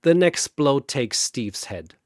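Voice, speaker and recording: Man narrating a battle story, lively pitch. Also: takes it slowly, no room sound, clean studio sound. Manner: vivid, play-by-play battle telling. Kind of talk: dramatic scripted narration.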